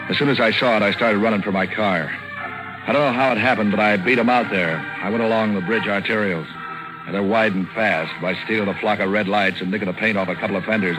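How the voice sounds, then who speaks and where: An actor playing a terse, sardonic, wisecracking private eye in 1940s radio detective style. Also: male voice, on the low side, close to an everyday voice, low-quality recording. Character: hardboiled, wisecracking male private eye narrator. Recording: vintage 1940s radio broadcast with music.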